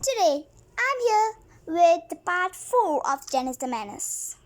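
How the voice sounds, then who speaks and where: Little girl reading a storybook out loud, super high voice, takes it slow, expressive. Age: child. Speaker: host of a read-along video. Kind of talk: storybook read-aloud with asides to viewers.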